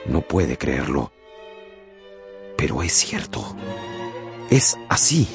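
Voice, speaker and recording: A man narrating a radio drama in a scripted, theatrical and deliberate storytelling style. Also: a bass voice, sing-song, creaky, music in the background.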